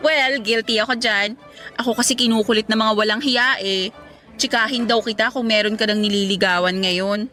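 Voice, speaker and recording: A woman's voice: on the high side, fairly even intonation, normal speed, sharp tone, faint music behind. An actress playing a chatty, teasing woman in her twenties in a radio drama. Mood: flirty, prying.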